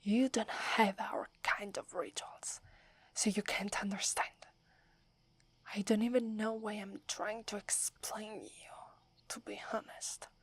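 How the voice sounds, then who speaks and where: A woman's voice: medium pitch, steady tone, slow pace, slightly rough, no room sound. A woman from Italy with an Italian accent, whispering as a proud, wary young female werewolf who is prickly and defensive. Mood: embarrassed, defensive. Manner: haughty, grudging, defensive. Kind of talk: ASMR roleplay.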